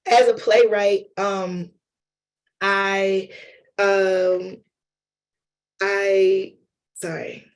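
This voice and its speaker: Hoarse man with a cold, slow and chatty, talking to a workshop group, flat delivery. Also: high voice. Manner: chatty, loose, addresses audience as y'all and honey. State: losing voice from a cold. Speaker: lecturer and playwright. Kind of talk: informal workshop talk.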